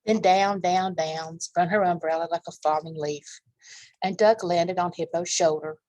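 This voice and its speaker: A woman's voice: lowish voice, fairly even intonation, normal speed, darkish tone, no room sound, rough recording. A woman reading aloud to young children as a storytime host, with chatty asides and questions for her listeners.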